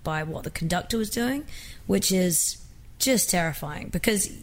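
A woman's voice: on the low side, lively intonation, moderate pace. A young woman from England with an accent blended with American, chatting casually as a singer guest in an interview, often restarting her sentences. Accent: mixed English and American.

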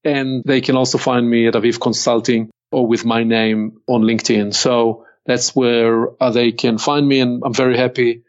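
An older man with a Hebrew accent, a consultant guesting on a podcast, speaking in a measured, deliberate way and slowing to stress key points. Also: medium pitch, level tone, lo-fi sound. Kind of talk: reflective interview talk.

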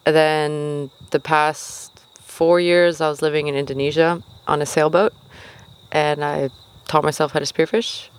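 A woman's voice: low voice, little pitch movement, a little slow, clean tone. Giggly young woman in casual conversation. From raised in China and Asia. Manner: giggly, self-deprecating. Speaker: interview guest. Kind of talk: casual chat.

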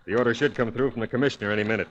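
An actor as a rapid-fire American police inspector. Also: male voice, medium pitch, lo-fi sound, close to an everyday voice. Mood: stern, urgent. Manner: clipped, authoritative, gruff. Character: brusque, harried male police inspector barking orders. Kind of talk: 1940s radio detective drama acting.

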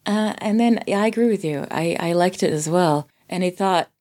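A woman from Sweden, a native Swedish speaker, casually discussing a film in a thoughtful way, often pausing to rephrase. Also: lowish voice, darkish tone. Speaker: podcast guest reviewing a film.

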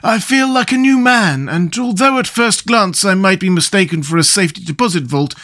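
A man voicing a stuffed male scarecrow, noble and dignified, with a hollow voice and slow delivery. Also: sits high, lively intonation, pushed and bright, pitched above the performer's own voice. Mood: grateful.

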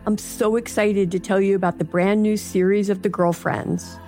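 A woman's voice: mid-range voice, fairly even intonation, moderate pace, a little mellow. Woman podcast host over music. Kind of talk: scripted promo narration. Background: music bed.

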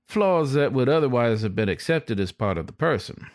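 A man voicing a folksy, philosophical old male coyote cab driver in an audiobook story, giving unhurried advice. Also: mid-range voice, expressive, dry recording, clean studio sound, close to an everyday voice. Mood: sage, reassuring.

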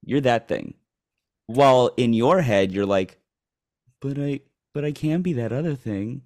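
A man's voice: medium pitch, lively intonation, measured pace, darkish tone. A man hosting a casual interview, asking curious, probing questions and often rephrasing them mid-sentence. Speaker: host.

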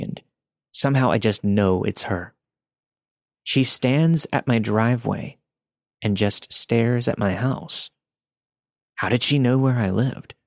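Man, scary story narration, lowish voice, creaky gravel, dry sound. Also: no room sound. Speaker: podcast host reading listener stories. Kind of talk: scripted spooky story narration.

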